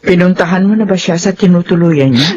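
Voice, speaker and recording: A woman's voice: lowish voice, fairly quick, darkish tone, husky. An actress playing a worried, scolding middle-aged mother in a radio drama, emphatic and blunt, in a slightly dull recording with music. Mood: concerned. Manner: emphatic, exclaiming, admonishing.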